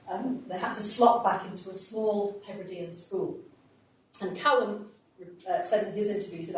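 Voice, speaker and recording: A woman's voice: medium pitch, a little mellow. A woman professor giving a history lecture, speaking with fillers and restarts as she works through interview extracts. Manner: hesitant, many fillers and restarts. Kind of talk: public lecture with interview clips. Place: lecture hall. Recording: echoey hall, voice from a distance.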